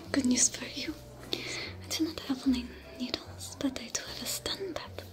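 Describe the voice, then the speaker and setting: A woman voicing a young female alien smuggler with a French accent, who gently tends a stranger's wounds in a whispered, soft-spoken ASMR roleplay. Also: sits high, moderate pace, natural voice. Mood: soothing whisper. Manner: gentle, reassuring, coaxing. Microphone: close to the camera.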